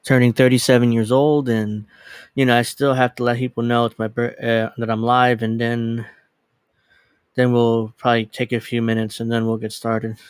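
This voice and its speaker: Casual streamer, man, late 30s, mid-pitched, rambly and self-correcting, dry close desk mic. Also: flat delivery, normal speed, clear, resonant tone, no room sound. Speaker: livestream host. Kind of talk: casual livestream chat. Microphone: desk USB microphone.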